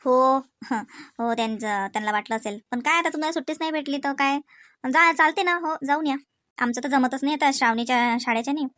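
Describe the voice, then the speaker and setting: Woman acting high-voiced chatty village-style housewife. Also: brisk, a bit bright, dry recording, studio quality. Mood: chatty, considerate. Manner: chatty, colloquial, homely. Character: chatty homemaker wife and mother, fond, mildly grumbling. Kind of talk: conversational cartoon dialogue.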